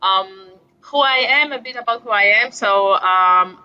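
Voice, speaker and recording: A woman's voice: mid-range voice, unhurried, bright, forceful tone, clean tone, muffled recording. A woman in her forties from Greece with a Greek accent, a guest author talking reflectively about parenting, with light self-deprecating humor. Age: 40s. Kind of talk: casual interview talk.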